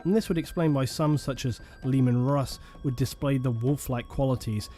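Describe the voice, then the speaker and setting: A man giving scripted documentary narration on lore in a measured, solemn manner. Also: medium pitch, dark tone. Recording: faint music bed behind.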